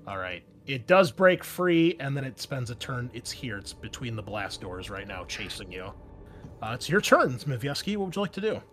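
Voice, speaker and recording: A man's voice: medium pitch, sing-song, moderate pace, fairly bright tone. Casual male game master over background music. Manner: casual, informal. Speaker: game master of a tabletop roleplaying game. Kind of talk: improvised narration and rules explanation for players.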